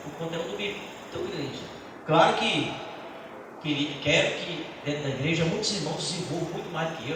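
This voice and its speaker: Middle-aged male pastor, earnest testimony with halting restarts, fairly high voice, distant mic in an echoey church hall with noise behind. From Brazil. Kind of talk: church teaching talk.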